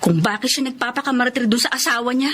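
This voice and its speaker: A woman's voice: fairly high pitch, fast talker, a bit bright, a little hiss or hum, lightly acted. A woman playing a worried, dutiful young working daughter in a radio drama, earnest and plaintive. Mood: earnest, troubled.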